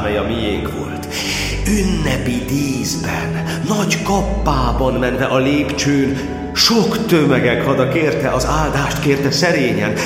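A man's voice: mid-range voice, natural voice. Echoing exalted archbishop played by a man. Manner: declamatory, lofty, swelling in intensity. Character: exalted male archbishop recalling a festive high mass. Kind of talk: dramatic verse monodrama for audio. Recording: very echoey cathedral-like hall reverb. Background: background music.

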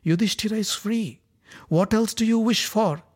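A man's voice: high-pitched, moderate pace, breathy edge, voice pushed up. A man voicing an old king who speaks in stern, declaiming tones. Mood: gracious.

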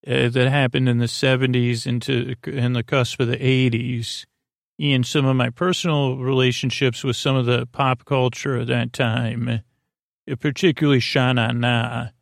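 Guy podcast host rambling at bedtime, creaky voice, keeps wandering off track, very dry sound. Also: medium pitch, flat delivery, dry recording. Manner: meandering, halting, trails off and loses his thread. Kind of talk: rambling, improvised bedtime monologue.

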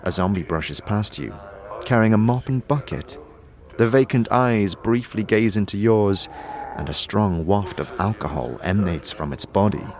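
A man's voice: fairly low pitch, lively intonation, normal speed, slight background noise. A man reading scripted, second-person fantasy narration as the narrator of an audio drama.

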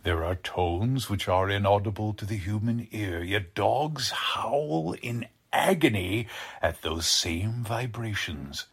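An actor playing a deranged, boastful scientist in 1940s radio drama style, pompous and theatrical, with a husky, breathy voice. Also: male voice, lowish voice, heavily acted. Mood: lecturing, ominous. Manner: theatrical, pompous, savoring words slowly. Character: deranged, boastful male mad scientist, grandiose and sinister.

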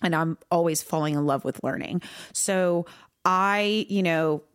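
A woman in her thirties, originally from New York, chatting as a podcast guest. She talks in a bubbly, easygoing way, full of filler words and quick laughs. Her voice sits a little lower than most women's. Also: clean tone. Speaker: guest musician. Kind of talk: casual podcast chat.

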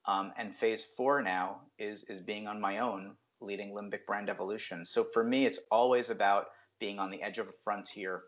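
A man's voice: sits low, fairly bright tone. A middle-aged man from New Jersey, talking casually as an interview guest with many filler words. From New Jersey, USA. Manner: reflective, frequent um fillers. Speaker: guest, brand strategist. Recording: dull lo-fi audio.

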